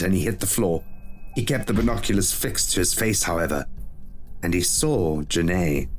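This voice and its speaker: A man reading a scripted short story as a dramatic audio narrator. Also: sits low.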